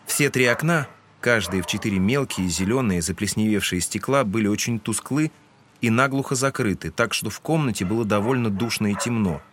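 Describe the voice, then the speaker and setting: A man's voice: on the low side, fairly quick, a bit bright. Man narrating a literary audiobook.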